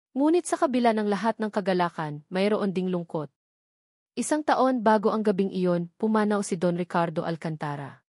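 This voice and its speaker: A woman's voice: medium pitch, darkish tone, no room sound. Woman narrating a sentimental story, dry sound.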